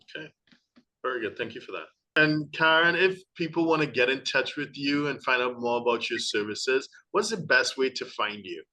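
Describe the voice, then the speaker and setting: Male interview host, bright crisp tone, polite and conversational. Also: mid-range voice, normal speed. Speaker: podcast host. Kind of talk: interview hosting.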